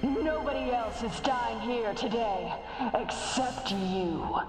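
A woman's voice: on the high side, takes it slowly. A woman playing a defiant, stilted sci-fi heroine in a game cutscene styled as a B-movie. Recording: echoey reverberant sound, music behind.

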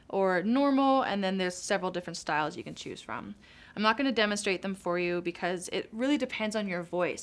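A woman's voice: mid-range voice, a bit bright. Young woman, casual product walkthrough, chatty, upbeat, small studio room. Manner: chatty, upbeat, explains with hand gestures. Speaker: product demo presenter.

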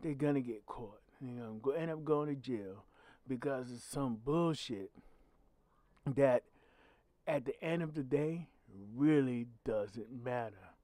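A man's voice: mid-range voice, measured pace, warm tone, no echo. A man with a growly voice, hosting his own channel and giving blunt, emphatic commentary with swearing. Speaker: channel host. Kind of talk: solo commentary podcast.

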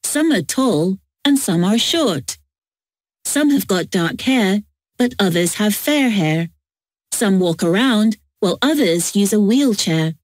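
A woman narrating textbook audio in a slow, clear reading. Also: mid-range voice, expressive, no echo, studio quality.

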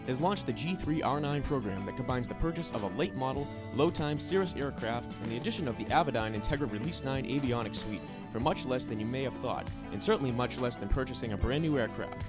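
Male ad read, quick pace, loud guitar music behind. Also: mid-range voice, lively intonation. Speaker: advertisement announcer. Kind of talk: scripted ad voice-over.

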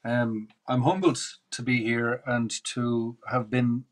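A man's voice: mid-range voice, little pitch movement. A man in his fifties with an Irish accent, a musician guest, speaking humbly on a video call. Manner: slow, humble. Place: indoor room at home. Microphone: video call webcam.

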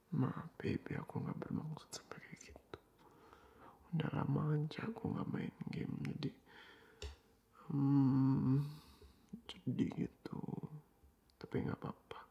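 A young man in a boyfriend roleplay, talking softly and coaxingly in a half-whisper, dropping English phrases into his speech. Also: mid-range voice, vocal fry.

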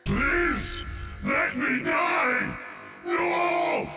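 A man voicing an anguished undead warrior with a gravelly voice, in theatrical video game lines. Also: very high-pitched, huge pitch swings, very slow, reverberant, raised voice, over music, exaggerated voice. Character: anguished undead male warrior who begs to die.

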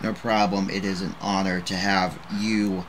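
A man's voice: low voice, little pitch movement, moderate pace, slight background noise, poor audio. A man hosting an online game broadcast, putting questions to his co-commentators and laughing easily. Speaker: broadcast host. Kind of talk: sports commentary.

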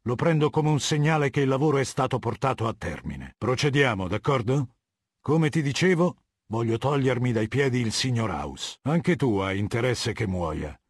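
Video game warlord, middle-aged. Husky, imperious, measured authority; dry studio sound. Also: male voice, medium pitch, expressive, no room sound. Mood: cold, commanding. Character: cold, commanding middle-aged male warlord of a legion. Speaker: voice actor.

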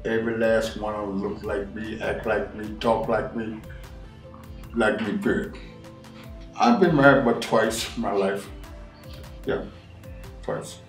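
A man's voice: fairly low pitch, unhurried, pauses often, distant mic. A sixty-nine-year-old man sits on a living-room couch answering questions, speaking plainly and coming back to the same point again and again. His voice sits off the mic and rings in the room, with faint music under it. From Washington, D.C. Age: 60s. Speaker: interviewee. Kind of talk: documentary interview. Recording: roomy sound from off-mic.

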